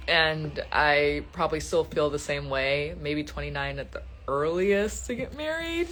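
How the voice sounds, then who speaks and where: Twenty-something woman on a phone live stream, bright sharp voice, chatty and laughy, answering fans. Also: low voice. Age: 20s. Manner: chatty, laughs often, reads out viewer questions. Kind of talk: casual live stream chat.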